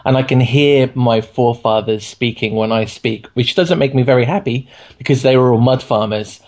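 A man's voice: medium pitch, moderate pace, a little mellow. A man with a regional English accent, co-hosting a podcast, thoughtful and self-deprecating with many ums. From England. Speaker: podcast co-host. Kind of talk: casual chat.

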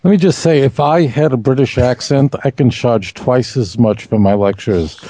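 A man's voice: medium pitch, moderate pace, warm tone. A man with a Brooklyn accent, a rabbi guest on a podcast, joking and rambling with many restarts. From Brooklyn, New York. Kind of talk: casual chat.

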